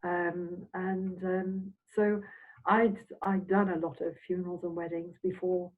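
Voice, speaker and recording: An older woman from Scotland, a semi-retired minister, reminiscing in a halting, meandering, reflective way as an interview guest. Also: fairly low pitch, little pitch movement. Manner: halting, meandering delivery with trailing phrases. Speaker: interviewed Spiritualist minister and medium. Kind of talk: reflective, anecdotal chat. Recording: dull, phone-like audio.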